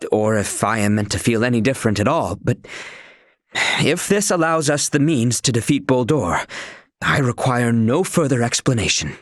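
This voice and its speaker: An actor voicing an earnest, stern young knight in a video game, with formal, archaic and stiffly proper diction. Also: male voice, fairly low pitch, no room sound, clean studio sound. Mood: solemn.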